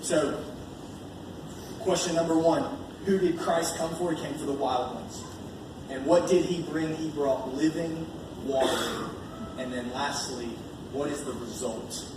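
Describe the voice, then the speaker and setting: A fairly high-voiced American man delivers a colloquial evangelistic sermon, leaning on repeated phrases for emphasis. His voice comes through a heavily echoing room, picked up from a distance. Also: fairly even intonation, a little slow. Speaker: preacher. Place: large echoey room at a live church gathering. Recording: echoey room from far off, noisy.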